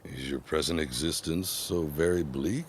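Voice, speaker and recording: Very deep, suave gentleman suitor played by an actor. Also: normal speed. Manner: courtly, grandiose formal diction. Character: suave ambitious gentleman suitor of 1916, ardent. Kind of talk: period audio drama acting. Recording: clear background ambience noise.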